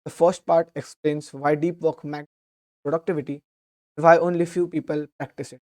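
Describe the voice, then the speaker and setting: A young man, slightly hoarse from a sore throat, explaining a book summary in a steady, earnest way. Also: on the high side, a little fast.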